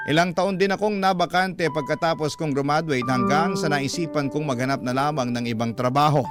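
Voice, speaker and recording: A man working as a radio storyteller, reading a listener's letter in scripted, dramatic narration. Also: mid-range voice. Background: loud background music.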